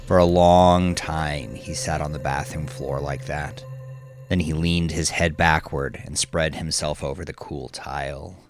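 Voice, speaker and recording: Deep-voiced man narrating a dark story. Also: fairly even intonation, unhurried. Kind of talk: scripted dramatic audiobook narration. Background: background music.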